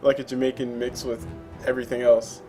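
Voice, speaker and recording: A man's voice: medium pitch, level tone, brisk, dark tone, soft background music. A man hosting a casual interview, chatty and joking, laughing often. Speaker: interview host.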